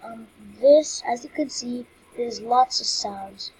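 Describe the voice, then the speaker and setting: A woman's voice: on the high side, slow pace, a little mellow, husky. A young woman starting her first channel, talking in a rambling, hesitant way with many fillers, recorded on a cheap microphone. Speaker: new YouTuber. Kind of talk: casual vlog intro. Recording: faint background noise, poor mic.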